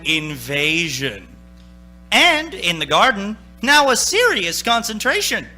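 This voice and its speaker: An actor playing a dramatic old-time radio suspense announcer, theatrical and deliberate. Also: male voice, high voice, huge pitch swings, over music, exaggerated voice. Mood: hushed narration.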